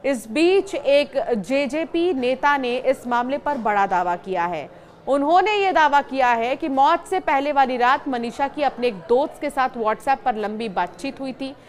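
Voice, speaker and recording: High-pitched woman presenting news. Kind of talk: news reporting to camera, semi-scripted explainer.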